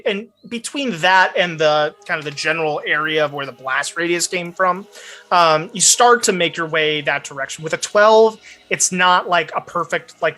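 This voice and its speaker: A man's voice: fairly high pitch, pushed and bright. A man narrating a tabletop roleplaying game as game master, improvising descriptive scenes.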